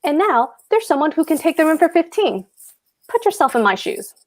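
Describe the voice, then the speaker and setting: Woman playing a high-pitched, blustering, bossy husband. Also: very animated, brisk. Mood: wheedling, suspicious. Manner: pompous, emphatic. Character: blustering, bossy, boastful German husband and landlord. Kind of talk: play table read.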